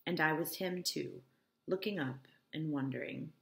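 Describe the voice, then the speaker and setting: Low-voiced woman teacher reading aloud. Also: moderate pace, gravelly, fairly bright tone. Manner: informal, explanatory, checking for understanding. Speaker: English teacher. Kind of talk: novel read-aloud with informal explanations.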